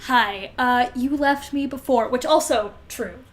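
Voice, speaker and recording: A woman's voice: a little high, a little slow. A woman co-hosting a podcast, recapping a story casually in a wry, slangy way and acting out the dialogue. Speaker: podcast co-host.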